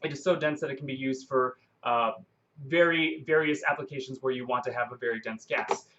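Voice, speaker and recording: A man giving an informal, unscripted science explanation, speaking haltingly and often repeating and rephrasing himself. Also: mid-range voice, fairly bright tone, dull audio. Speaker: science explainer presenting a video.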